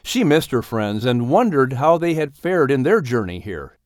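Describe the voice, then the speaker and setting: Animated male storybook narrator. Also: mid-range voice, normal speed, dry recording, studio quality. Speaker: audiobook narrator.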